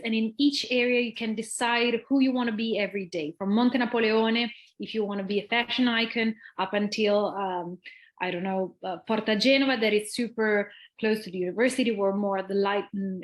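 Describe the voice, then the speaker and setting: A woman's voice: medium pitch, steady tone, normal speed, low-quality recording. A young adult woman presenting a university and moderating an online webinar, upbeat but hesitant, with frequent fillers. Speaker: university representative hosting a webinar. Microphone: video call.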